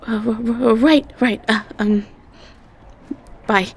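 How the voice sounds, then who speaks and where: A woman's voice: fairly high pitch, fairly even intonation, takes it slowly, background noise. A woman playing a sweet, ditzy young siren student with a Southern US accent, talking in a hesitant, rambling, casual way for an audio drama. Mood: flustered, sheepish. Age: young adult.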